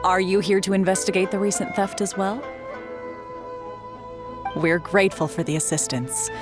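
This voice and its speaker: A woman playing a courteous, concerned tea house keeper in a video game, speaking calmly and clearly. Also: mid-range voice, normal speed, music in the background, natural voice.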